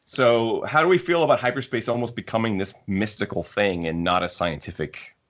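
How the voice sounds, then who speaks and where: Deep-voiced man musing on a podcast. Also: a little fast, fairly bright tone, lo-fi sound. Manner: musing, circles back to rephrase points. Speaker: podcast co-host. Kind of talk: casual fan discussion.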